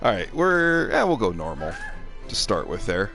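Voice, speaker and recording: A man with a fairly low voice and very animated intonation hosts a game stream. His chat is casual, irreverent and sarcastic. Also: moderate pace, soft background music. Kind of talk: casual chat over gameplay.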